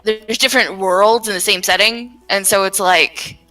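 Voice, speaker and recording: Bright-voiced woman rambling, a bit distant. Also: mid-range voice, steady tone, normal speed, soft background music. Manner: rambling, trailing off and circling back. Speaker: game developer, writer and voice actor. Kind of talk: casual chat about her own creative projects.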